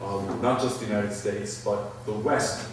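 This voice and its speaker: A middle-aged man answering audience questions at a public talk, thoughtful and halting, with many restarts. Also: on the low side, steady tone. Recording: echoey hall, far from the mic, faint noise.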